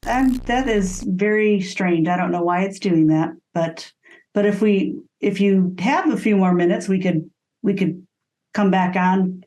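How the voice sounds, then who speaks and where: A woman's voice: mid-range voice, unhurried, darkish tone, rough recording. A woman co-hosting an informal interview over a video call, chatting casually. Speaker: interview co-host.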